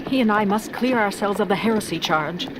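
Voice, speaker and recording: A woman's voice: medium pitch, brisk, close to an everyday voice. An actress playing a caring, cautious female ape scientist in a record audio drama, speaking carefully on a noisy track. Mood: secretive. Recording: noisy track with ambience behind.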